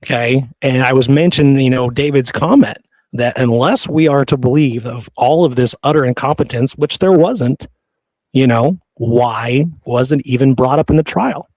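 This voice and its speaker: A man's voice: mid-range voice, normal speed, a little mellow, no echo. A man co-hosting a conversational history discussion, repeating points for emphasis. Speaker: podcast co-host. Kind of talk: conversational historical discussion, sometimes reading quotes.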